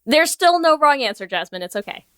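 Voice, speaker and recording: A woman's voice: high voice, big pitch swings, brisk, fairly bright tone, no echo. A woman co-hosting a casual comedy podcast with playful, jokey banter. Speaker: podcast co-host. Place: remote recording over video call.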